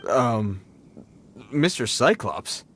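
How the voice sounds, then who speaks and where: A man's voice: mid-range voice, big pitch swings, extremely slow, fairly bright tone, a little hiss or hum, exaggerated voice. A man playing a dutiful, polite guard in a video game, formal and a little hesitant with children. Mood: flustered, resigned.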